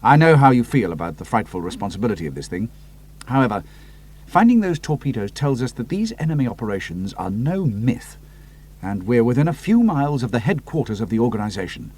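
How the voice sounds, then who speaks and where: A man playing a brisk British pilot hero. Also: mid-range voice, big pitch swings, a little mellow. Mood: resolute. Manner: crisp, decisive, clipped.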